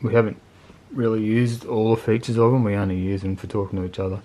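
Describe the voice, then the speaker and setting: A man with a lowish voice talks through a piece of gear off the cuff. His delivery is very monotone and laid-back, with plain, colloquial phrasing. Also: fast. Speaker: motorcycle video channel host reviewing gear. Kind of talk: casual unscripted product review.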